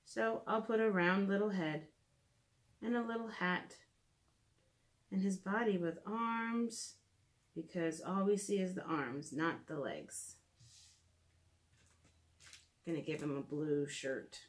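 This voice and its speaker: A woman in her thirties, a children's teacher, talks gently and simply through a drawing lesson, thinking aloud, recorded in a living room by a camera a few feet away. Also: on the low side, a little slow. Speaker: children's art and reading teacher. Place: living room at home, indoors.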